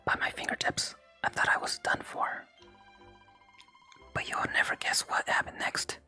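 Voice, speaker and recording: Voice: moderate pace, bright, forceful tone. Eager whispering village girl, acted by an ASMR streamer. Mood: excited, admiring. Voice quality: breathy whisper. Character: eager young village girl, excited childhood friend. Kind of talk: whispered game dialogue reading. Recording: close whisper with game music behind.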